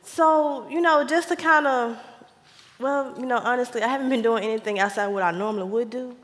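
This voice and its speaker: A woman's voice: fairly high pitch, a little fast, fairly bright tone, close to an everyday voice. An actress playing an exasperated, confused American wife confiding in her mother on stage, with emphatic, dramatic pleading. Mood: hesitant, confiding. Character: exasperated, confused grown daughter and frustrated wife. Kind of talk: stage play acting. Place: live stage in a reverberant hall. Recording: echoey theater hall.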